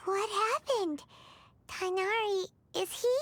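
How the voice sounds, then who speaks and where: A woman voicing a tiny, excitable, childlike fairy companion in an anime game, with a squeaky voice. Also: slow, a little hiss or hum, full character voice. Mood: concerned. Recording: loud game music behind.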